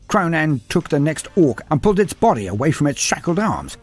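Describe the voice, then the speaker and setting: A man reading a scripted story aloud with dramatic, expressive delivery. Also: on the high side, slightly rough. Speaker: narrator.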